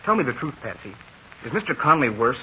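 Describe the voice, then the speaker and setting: A man's voice: mid-range voice, sing-song, fast talker, sharp tone. An actor playing an earnest, admiring teenage boy in a 1940s radio serial, hesitant and wide-eyed. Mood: anxious. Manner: eager, wide-eyed, hesitant with "well" and "gee". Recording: dull scratchy 1940 radio transcription.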